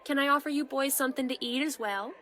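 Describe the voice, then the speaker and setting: A woman's voice: high voice, fast talker, a bit bright. A woman playing an older tavern keeper, motherly, teasing and chatty, in a fantasy audio drama. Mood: friendly, chatty.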